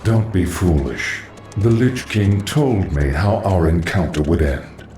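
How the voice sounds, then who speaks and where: An actor voicing a calm, eerie undead lich sorcerer in a video game, ominous and assured, with an echoing recording. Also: male voice, sits low, slow pace, faint music behind. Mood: calm, knowing. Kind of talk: video game cutscene acting. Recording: echoing distant voice, noisy game ambience.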